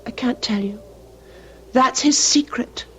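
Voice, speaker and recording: An actress in an old radio drama playing an anxious, devoted young woman pining for her fiancé, speaking haltingly and emotionally. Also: a little high, bright, forceful tone. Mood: wistful. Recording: muffled, noisy old radio recording.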